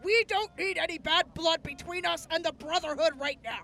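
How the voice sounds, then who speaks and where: An actress plays a woman militia officer with a very high, bright voice. She is composed and courteous, and speaks formally, as in an audio drama about war. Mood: measured, serious. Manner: formal, measured, polite.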